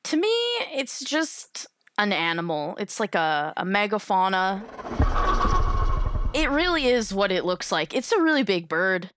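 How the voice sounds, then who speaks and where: A woman's voice: medium pitch, sing-song, unhurried, fairly bright tone. A young woman appearing as a scientist guest on a podcast, chatting in a playful, informal way. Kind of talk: casual chat.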